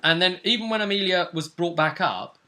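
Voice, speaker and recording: A man's voice: high voice, a little fast, sharp tone. A man with a British accent talking casually as a game master, looking back over a role-playing session. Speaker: game master and vidcast host.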